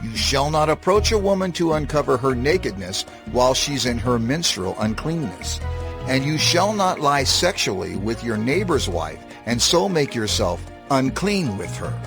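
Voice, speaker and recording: A man's voice: medium pitch, very animated, moderate pace, creaky. A man acting as a stern divine lawgiver in a dramatized scripture reading, formal and emphatic. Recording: prominent music behind the voice.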